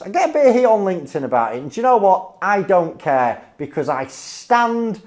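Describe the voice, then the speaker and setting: A Northern English man telling business anecdotes informally, blunt and emphatic, often checking in with "okay?" or "right?". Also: high voice. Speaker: insolvency adviser.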